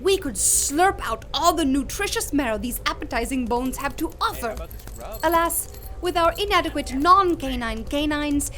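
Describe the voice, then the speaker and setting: A woman plays an eccentric naturalist with a feral streak, chipper and wordy. The voice sits very high and jumps around a lot. It is heard over clear background noise in an audio drama. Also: a bit bright. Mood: lecturing. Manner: prim, wordy, oddly cheerful.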